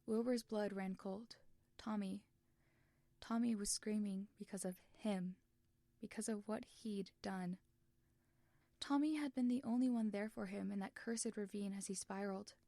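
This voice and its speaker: A woman's voice: medium pitch, fairly even intonation, measured pace, warm tone, no echo. A woman narrating fan fiction expressively from a script, as in an audiobook.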